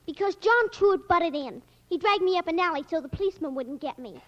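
Mischievous little girl, very high, old radio. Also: animated, fairly quick. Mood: aggrieved. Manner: boastful, theatrical, matter-of-fact about mayhem. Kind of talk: 1940s radio acting. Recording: dull old radio recording.

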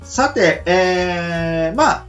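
A man's voice: extremely slow, faint music behind. A man hosting a casual late-night radio talk show, speaking with many fillers. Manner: lots of filler words, lively rising pitch when excited.

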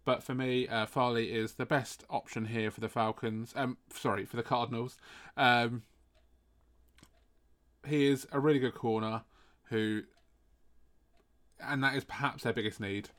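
A man's voice: medium pitch, steady tone, a little slow, long pauses, fairly bright tone. A man hosting a podcast mock draft, chatty and a bit sniffly from hay fever. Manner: chatty, apologetic asides. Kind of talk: casual chat.

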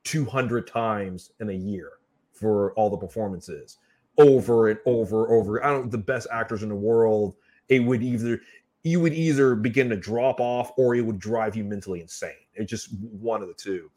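A man's voice: on the low side, normal speed, a little mellow. A man co-hosting a casual live chat show, earnestly explaining his points and talking to the audience. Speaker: co-host of horror review show.

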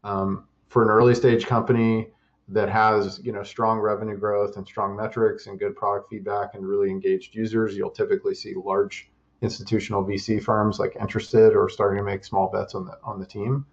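A man talks through his answers in a level, even way, stopping often to restart a phrase. His voice sits low and has a gravelly edge. The audio sounds slightly dull and muffled. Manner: measured, with many fillers and restarts. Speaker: interview guest, investor and startup advisor. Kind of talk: casual interview answers.

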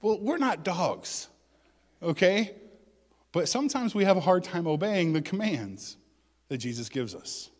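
A man's voice: high-pitched, big pitch swings, measured pace, pauses often. Man preaching in a reverberant hall. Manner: conversational, joking asides, rises loud for emphasis. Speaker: pastor. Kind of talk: sermon.

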